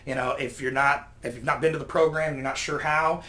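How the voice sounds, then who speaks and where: A man's voice: fairly high pitch, fairly even intonation, fairly quick, sharp tone. A man explaining communication tips as a guest expert, in an emphatic, instructive way.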